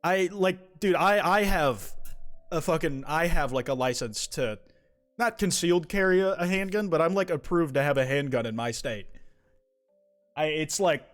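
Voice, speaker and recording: A man's voice: on the high side, animated, normal speed, faint music behind. A young man from the United States chatting casually on a live stream, reading viewer confessions aloud, rambling and swearing with many restarts.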